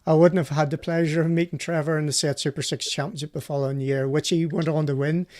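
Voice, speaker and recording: A man's voice: fairly high pitch, steady tone, fast talker, a little mellow. A man hosting a casual live motorsport chat, telling anecdotes and bantering in a chatty way. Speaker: host of a live rally show; former professional co-driver.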